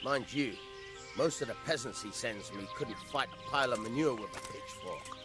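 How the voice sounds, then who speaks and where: An actor playing a blunt, mocking, hard-drinking combat master in a video game drama. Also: male voice, mid-range voice, normal speed, over music, close to an everyday voice. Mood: gruff mockery. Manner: jocular, blunt, sardonic.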